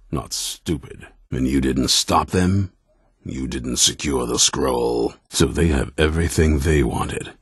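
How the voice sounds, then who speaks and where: An extremely deep, husky voice for a video game's old vampire hunter. He is a grim, ruthless commander, stern and blunt. His delivery swings widely in pitch and has a heavy rasp. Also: no echo, clean studio sound, full character voice. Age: older. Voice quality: very deep, gravelly, raspy. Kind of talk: video game character dialogue.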